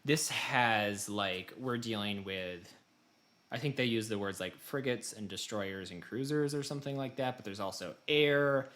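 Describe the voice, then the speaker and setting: A man's voice: on the low side, unhurried, fairly bright tone. A man co-hosting a casual podcast, telling an exasperated, fired-up story about video games. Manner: fired up, exasperated, mimics game prompts. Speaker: podcast host.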